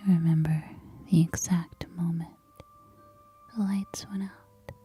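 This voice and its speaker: A woman's voice: lowish voice, fairly even intonation, soft background music, close to an everyday voice. Woman acting a whispering, haunted apocalypse survivor. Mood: haunted, somber. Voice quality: breathy, unvoiced whisper. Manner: slow, hushed, halting delivery in short phrases. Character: unhinged, war-weary woman survivor of an apocalypse, caretaking rescuer. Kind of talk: whispered ASMR roleplay, slow dramatic monologue.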